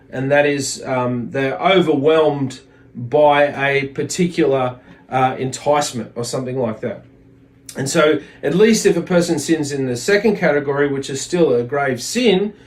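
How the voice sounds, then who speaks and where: A man with a slightly high voice teaches a religious lesson. He moves between reading a text and explaining it in his own words. His explanations are halting, with words doubled back on and restated.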